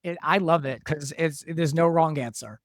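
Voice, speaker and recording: Man with a higher-pitched voice, chatting casually. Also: moderate pace, dry recording, clean studio sound. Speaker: podcast panelist from the show's team. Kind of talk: casual discussion of a drama episode.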